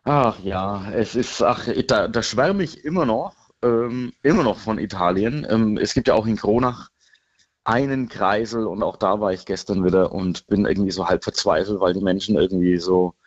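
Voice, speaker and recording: A man co-hosting a casual podcast, rambling and halting as he circles back mid-sentence. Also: on the low side, lo-fi sound. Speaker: podcast and radio co-host. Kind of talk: casual unscripted banter.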